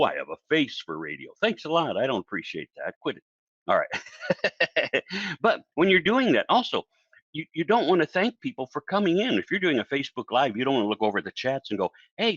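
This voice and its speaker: A man teaching public speaking in a casual solo video, talking in a chatty, animated way and acting out the voices of the people he quotes. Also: medium pitch, dry recording, muffled recording. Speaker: speaking coach.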